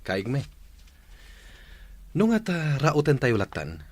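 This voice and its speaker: A man's voice: medium pitch, wildly swooping pitch, takes it slowly, no echo, full character voice. A man playing a threatening henchman with an Ilocano accent, loyal to his partner, in a radio drama. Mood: loyal, plotting.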